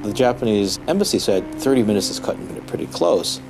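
Guy in his 40s, creaky low voice, explaining stuff haltingly in an interview, music behind. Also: low-quality recording. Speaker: history professor, expert commentator. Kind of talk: documentary interview commentary. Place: indoor room, seated interview.

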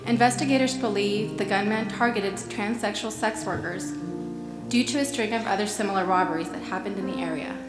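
A woman's voice: mid-range voice, a little fast. A young woman reads prepared text aloud solemnly as a memorial volunteer. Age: young adult. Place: church lectern, indoors. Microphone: lectern gooseneck microphone. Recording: echoey hall, loud music behind.

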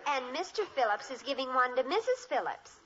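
A woman's voice: sits high, sing-song, brisk. A woman acting a dramatic, sarcastic American teenage daughter in a 1950s radio sitcom. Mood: indignant. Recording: muffled, noisy old radio recording.

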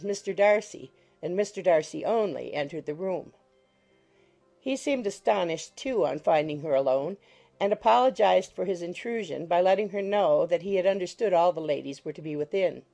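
A woman narrating an audiobook in a measured, formal reading style. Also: lowish voice, mellow.

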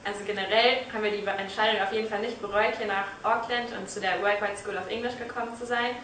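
A young woman speaks eagerly and cheerfully about her stay abroad, her voice bright with enthusiasm. She is recorded from a distance in a big indoor lobby, which adds a roomy echo and a faint background hiss. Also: medium pitch, steady tone, quick pace. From northern Germany. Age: young adult. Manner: cheerful, eager, laughs often. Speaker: language school student. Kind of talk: casual testimonial chat. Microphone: far camera. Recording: echoey room, distant mic, faint hiss.

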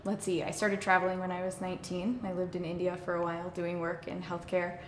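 A woman's voice: fairly low pitch, monotone, brisk, a little hiss or hum. Woman from Arizona in an echoey room. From Arizona, United States. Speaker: nonprofit director and co-founder. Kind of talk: casual interview. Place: indoor room with a plain wall.

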